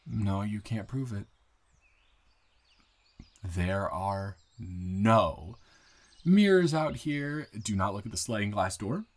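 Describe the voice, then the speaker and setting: A man acting a deep-voiced, bashful smitten boyfriend. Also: expressive. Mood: bashful, flustered. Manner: halting, flustered, playful teasing with chuckles. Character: nervous, smitten new guy in town confessing love. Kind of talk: improvised romantic audio roleplay.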